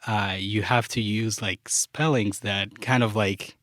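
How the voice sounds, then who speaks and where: A man's voice: on the low side, slow, dry recording, clean studio sound. A young man, a Luganda speaker, chatting casually as a podcast guest and using many fillers. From Uganda. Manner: relaxed, many fillers like "like" and "you know". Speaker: guest, software developer.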